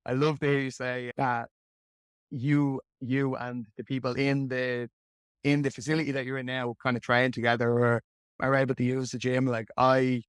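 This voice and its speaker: Young podcast host, flat delivery, chatty and rambling, dry sound. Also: male voice, mid-range voice, moderate pace, clean tone, no echo. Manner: friendly, rambling, many restarts. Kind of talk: interview hosting.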